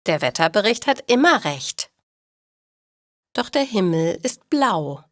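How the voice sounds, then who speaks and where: A woman narrating scripted stories for language learners, reading in a deliberate, clearly articulated manner. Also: mid-range voice, sing-song, fairly bright tone, no echo, clean studio sound.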